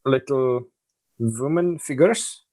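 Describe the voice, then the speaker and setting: A man's voice: mid-range voice, a little mellow. A man from the Czech Republic with a Czech accent, speaking hesitantly in a casual interview. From northern Czech Republic. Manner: hesitant, halting phrasing. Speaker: podcast guest, artist.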